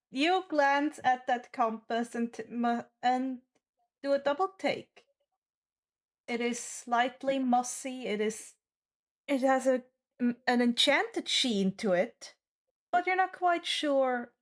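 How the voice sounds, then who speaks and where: Woman, high voice, slow delivery, game master, improvised fantasy narration, deliberate pauses. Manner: deliberate, pausing between phrases.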